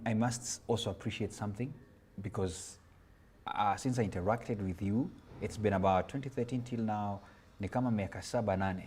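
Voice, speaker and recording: A man's voice: on the low side, darkish tone, a little hiss or hum. A man with a Kenyan accent hosting a casual interview, enthusiastic and affirming, slipping between English and Swahili slang. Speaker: talk show host.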